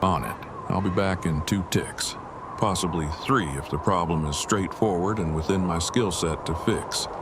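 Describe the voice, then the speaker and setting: A man playing a formal, wordy, deadpan young father and husband in an audio drama, with precise phrasing. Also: low-pitched, moderate pace, very noisy, lightly acted. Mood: earnest, formal. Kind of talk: fan audio drama acting.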